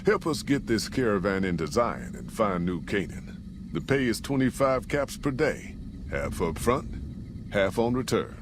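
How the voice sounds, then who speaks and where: An American actor playing a gruff, folksy caravan boss in a post-apocalyptic video game, with plain-spoken frontier drawl. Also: male voice, lowish voice, big pitch swings, measured pace, noisy background. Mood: businesslike, friendly. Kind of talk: scripted video game character dialogue.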